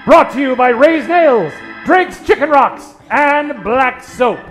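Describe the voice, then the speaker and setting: A man's voice: very high-pitched, lively intonation, slow, a bit bright, faint music behind. A man hosting live improv comedy on a theater stage, talking like a theatrical, mock-formal 1940s radio showman. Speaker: stage MC warming up audience.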